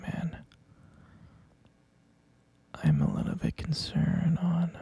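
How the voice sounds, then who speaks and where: A man playing a mature stepfather in an ASMR roleplay, speaking in a breathy, husky half-whisper with a hesitant, confiding and concerned manner. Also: a little high, mellow, no room sound, full character voice. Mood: hushed concern.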